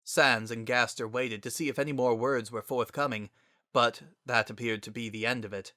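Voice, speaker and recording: A man's voice: mid-range voice, steady tone, normal speed, sharp tone, no echo, studio quality. A man narrating a scripted dramatic story as its narrator.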